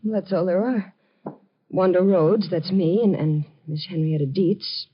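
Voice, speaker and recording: A woman playing a distraught, guarded young woman in a 1950s radio drama, tense and halting, with a pleading delivery. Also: lowish voice, steady tone, slightly rough. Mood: uneasy, halting. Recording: dull, noisy 1950 radio recording.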